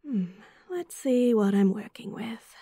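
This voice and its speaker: A woman with an Australian accent playing a caring, teasing young student best friend in a roleplay audio, soft and breathy. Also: a little high, animated, measured pace, no room sound, exaggerated voice. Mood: studious, teasing. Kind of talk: roleplay audio acting, intimate and softly spoken.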